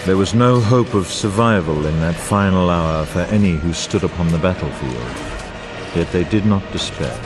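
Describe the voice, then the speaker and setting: Over a clear bed of music comes a solemn male chronicler of epic wars. His voice sits low and swings widely in pitch. He narrates a fantasy game with grave, grandiose formality. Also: lively intonation, normal speed. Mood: resolute, stirring. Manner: grandiose, portentous, formal delivery. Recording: orchestral music bed under voice.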